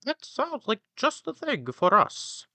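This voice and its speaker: A man voicing a keen rooster in a storybook tale, with drawn-out delivery. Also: very high voice, huge pitch swings, sharp tone, dry recording, pitched above the performer's own voice, full character voice. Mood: keen, curious. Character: male rooster, keen storybook animal companion. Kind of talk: storybook character voice.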